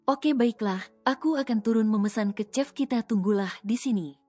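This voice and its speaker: A woman's voice: fairly high pitch, normal speed, natural voice. A woman voicing a domineering young male mafia boss who speaks to his wife in a coaxing, insistent way. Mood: calm. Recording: soft music underneath.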